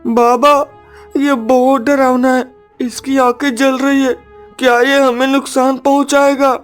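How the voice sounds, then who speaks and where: A woman's voice: high voice, little pitch movement, measured pace, faint music behind. A woman voicing a devoted, brave young son of a woodcutter, earnest and tender, in a cartoon story. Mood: frightened.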